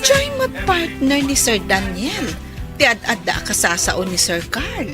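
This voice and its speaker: A woman's voice: a little high, wildly swooping pitch, slow pace, over loud music, full character voice. A woman playing a nosy, gossiping young woman at an event in a radio drama. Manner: gossipy and curious.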